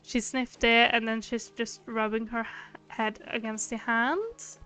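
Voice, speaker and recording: A woman's voice: fairly high pitch, level tone, unhurried, pushed and bright, smooth. A woman streamer chatting casually and affectionately while she plays a game. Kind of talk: casual livestream chat. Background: game music.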